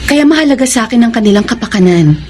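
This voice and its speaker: A woman playing a caring, principled schoolteacher in a radio drama, earnest and quick to indignation, with an expressive delivery. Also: on the high side, slight background noise, natural voice.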